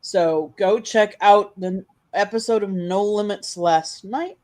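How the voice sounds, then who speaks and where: An announcer reads a scripted station promo in a steady, informational way. The voice sits at an ordinary middle pitch. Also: slow.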